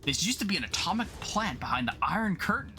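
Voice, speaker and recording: A man's voice: sits high, sing-song, fast, sharp tone, soft background music, voice pushed up, heavily acted. A man voicing an earnest, declamatory scientist and team leader in a dramatic comic-book reading. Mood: urgent. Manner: declamatory, urgent. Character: commanding, earnest male scientist hero, melodramatic team leader.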